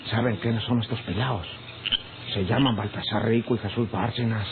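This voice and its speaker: A man's voice: on the low side, steady tone, moderate pace, close to an everyday voice. Radio serial: male country henchman, acted, rural Mexican accent, folksy, stubborn, phone-like noisy sound. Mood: insistent. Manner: plain-spoken, folksy, insistent. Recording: tinny, noisy old radio recording.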